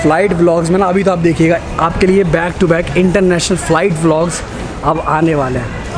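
A young man vlogging his trip to the camera in a casual, enthusiastic tone, talking in Hindi mixed with English while he walks through streets, rides a bus and crosses an airport terminal. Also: a little high. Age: young adult. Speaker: travel vlogger. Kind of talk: casual travel vlog. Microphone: handheld camera at arm's length. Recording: loud background noise of street and terminal.

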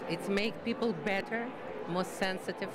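Woman, interview remarks, mid pitch, loud crowd behind. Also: normal speed. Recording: loud lobby crowd noise.

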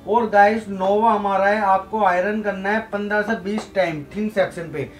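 A man's voice: high-pitched, steady tone, normal speed, soft background music. A young man teaching a hair treatment step by step in a chatty tutorial, often calling out to his viewers. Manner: chatty, repeats "guys" and fillers. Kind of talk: casual tutorial explanation. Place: indoor studio with blue lights.

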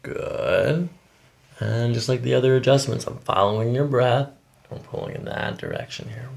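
A middle-aged man working as a chiropractor, giving calm, short, soothing instructions during an ASMR treatment. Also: medium pitch, moderate pace, creaky. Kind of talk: hands-on treatment talk, ASMR. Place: small office treatment room. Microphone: microphone on a boom nearby.

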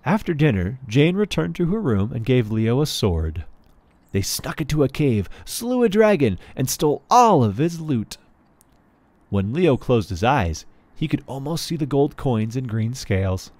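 A man narrates a children's storybook with a hugely varied, swooping melody. His pitch is mid-range and the sound is dry and close. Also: very animated, a little slow, a little mellow, no room sound. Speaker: children's book reader. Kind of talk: storybook read-aloud narration.

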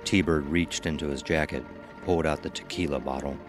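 Extremely deep-voiced male horror narrator. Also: steady tone, brisk. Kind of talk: scripted dramatic horror narration. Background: music under the voice.

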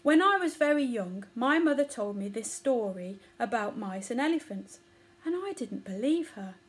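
A woman's voice: fairly high pitch, expressive, moderate pace, lightly acted. A woman voicing a cheeky, confident little mouse in a children's story, chatty, brash and teasing.

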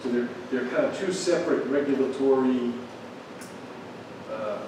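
A man speaks from far off in a heavily reverberant meeting hall, with clear noise around him. He explains procedure to a board in a measured, careful way. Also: medium pitch. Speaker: town official advising a board. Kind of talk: explanatory talk at a public meeting. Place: large meeting room, distant camera. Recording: very echoey room, distant mic, noisy.

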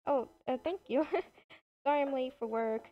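A young woman playing a shy, hesitant new worker in an improvised video game roleplay. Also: sits high. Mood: shy, polite. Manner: hesitant, unhurried. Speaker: roleplay gamer. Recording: dull lo-fi home mic.

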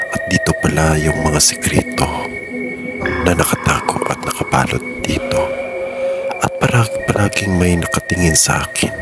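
Deep-voiced man narrating eerie voice-over. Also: normal speed. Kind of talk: dramatic horror voice-over narration. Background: loud music bed.

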